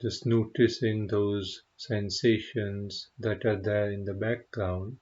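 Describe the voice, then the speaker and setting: Male meditation guide, lowish husky voice, gentle and very unhurried delivery, slightly muffled recording. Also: steady tone. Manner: gentle, unhurried, pausing often. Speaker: meditation teacher.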